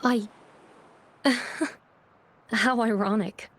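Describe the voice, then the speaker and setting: An actress voicing a remorseful young woman painter in a video game, soft and wistful in a confessional manner. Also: fairly high pitch, fairly even intonation, extremely slow, dry recording.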